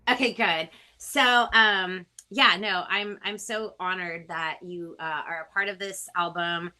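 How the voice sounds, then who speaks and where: A woman's voice: fairly low pitch, fairly even intonation, unhurried, pushed and bright. A woman chatting casually and appreciatively as the host of a music interview. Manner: gushing, appreciative. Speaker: host and singer-songwriter. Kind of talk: casual video-call chat.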